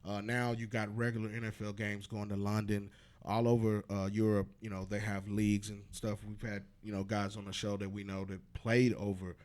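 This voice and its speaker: A man's voice: on the low side, flat delivery, moderate pace, a little mellow. A man hosting a sports interview show, upbeat and hyping his guests. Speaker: show host.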